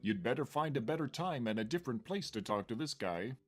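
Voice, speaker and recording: A man's voice: medium pitch, lively intonation, brisk, faint music behind, close to an everyday voice. A man voicing the sardonic narrator of an adventure video game, reading scripted narration with dry, mocking asides. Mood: wry.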